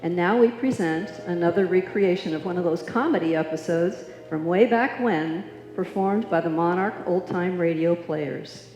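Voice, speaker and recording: A woman's voice: on the low side, normal speed, few pauses, a little mellow, soft background music. A woman announcer giving a scripted introduction in old-time radio style on a live stage. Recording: echoey hall, live stage.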